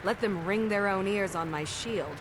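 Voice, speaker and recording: An actress playing a confident, boastful, dismissive warrior in a video game, far off in a very noisy recording. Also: female voice, medium pitch, normal speed, lightly acted. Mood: boastful, amused. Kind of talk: video game background dialogue. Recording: very noisy, distant game audio.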